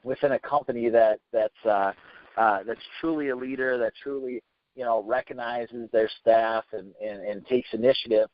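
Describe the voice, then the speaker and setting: A man hosting a business training call, giving an informal motivational talk with halting, repetitive, hesitant phrasing. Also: mid-range voice, steady tone, no room sound. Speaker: sales team leader hosting a training conference call. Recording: muffled conference phone call.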